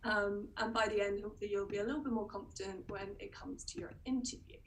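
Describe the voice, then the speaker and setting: A woman's voice: medium pitch, fairly even intonation, quick pace. A young British woman doctor with a cold, presenting on a webinar in a hesitant way, full of ums and restarts. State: sniffly with a cold. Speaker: doctor giving a webinar talk. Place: indoor room. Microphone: video call webcam. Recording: distant mic with faint background noise.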